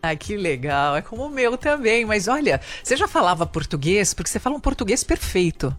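Female interviewer, medium pitch, conversational delivery, very little room sound, music underneath. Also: very animated, a little fast, no room sound. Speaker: interviewer, host.

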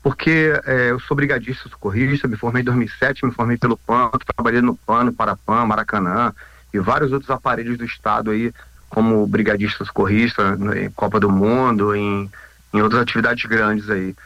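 A man's voice: lowish voice, fairly even intonation, brisk, a bit bright, no echo, muffled recording. A man in his fifties with a Rio de Janeiro Brazilian accent, speaking informally as an interview guest, repeating phrases and adding tag questions. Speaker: interview guest, volunteer rescue group founder.

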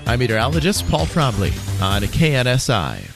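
Lively male radio meteorologist. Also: medium pitch, normal speed, soft background music. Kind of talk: radio weather forecast.

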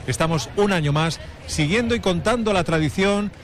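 Male radio host, live outdoor event coverage, brisk and upbeat. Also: high-pitched. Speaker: radio presenter.

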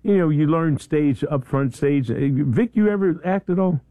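A man's voice: on the high side, moderate pace, no echo, phone-quality sound. A middle-aged man hosting a morning radio show in the studio, chatting casually and rambling through stories with many restarts. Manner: animated storyteller, rambling with frequent restarts. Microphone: studio microphone.

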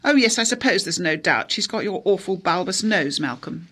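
A woman's voice: lowish voice, animated, normal speed, fairly bright tone, slightly rough. Woman putting on a snooty, clipped upper-class English lady. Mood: disdainful. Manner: clipped, haughty. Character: snooty, antagonistic grand upper-class wife. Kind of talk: audiobook character dialogue.

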